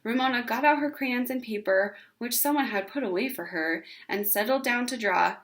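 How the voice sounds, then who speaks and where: Female read-aloud narrator for children's fiction, fairly high voice, expressive delivery. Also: moderate pace.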